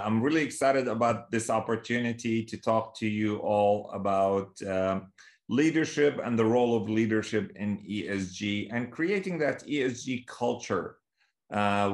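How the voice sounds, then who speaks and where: A man's voice: lowish voice, moderate pace. A middle-aged man from Kuwait giving an informal webinar talk in a hesitant, conversational way. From Kuwait, Palestinian by origin. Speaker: guest speaker, leadership consultant. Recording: echoey room on webinar microphone.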